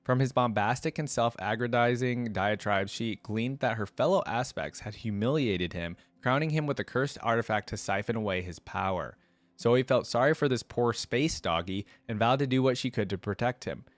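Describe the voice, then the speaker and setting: A man with a mid-pitched voice hosts a reaction video. He reads lore aloud like a storyteller, slipping into casual asides of his own. The sound is close and dry, with hardly any room to it. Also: normal speed, no echo.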